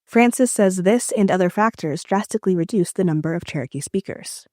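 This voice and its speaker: A woman reporter narrating a scripted radio feature in a clear, even storytelling manner. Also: fairly low pitch, a little fast, no echo, studio quality.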